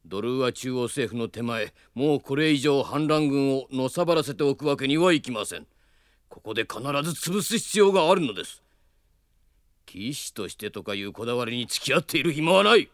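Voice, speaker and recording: A man's voice: medium pitch, expressive, fairly quick, no room sound, studio quality, lightly acted. Man playing a haughty, scheming army general. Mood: condescending. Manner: formal, condescending, archaic courtly speech. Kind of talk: radio drama acting.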